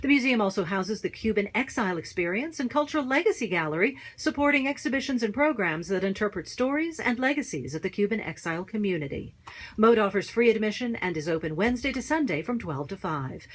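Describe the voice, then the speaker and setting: A female announcer reads a scripted radio promo. Her delivery is smooth and even. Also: mid-range voice, fast.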